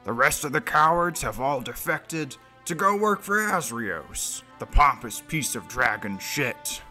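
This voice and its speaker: An actor playing a boastful, hot-tempered dwarven paladin in a fantasy audio drama, declaiming grandly. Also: male voice, medium pitch, big pitch swings, measured pace, bright, forceful tone, faint music behind, heavily acted. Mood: scornful, indignant.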